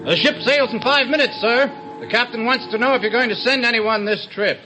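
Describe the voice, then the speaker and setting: An actor playing a dutiful, deferential, high-strung guard in an old-time radio comedy. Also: male voice, fairly quick, background noise. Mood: deferential, urgent.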